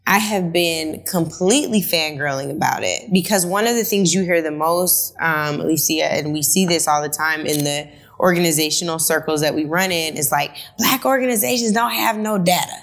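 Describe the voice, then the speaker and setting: A woman's voice: deep voice, normal speed, gravelly, a bit bright. A woman in her forties hosting an interview, enthusiastic and playful, often affirming her guest. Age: 40s. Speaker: host. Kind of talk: conversational interview.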